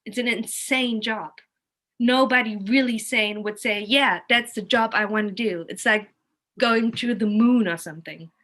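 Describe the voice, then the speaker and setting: A woman's voice: a little high, unhurried, a bit bright. A woman with a German accent, a native German speaker, talking casually and emphatically in an informal, opinionated way. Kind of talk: casual, animated conversation.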